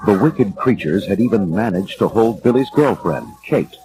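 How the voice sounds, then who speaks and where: A man narrating a children's storybook dramatically, with theatrical, measured delivery. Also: fairly low pitch, lively intonation, poor audio.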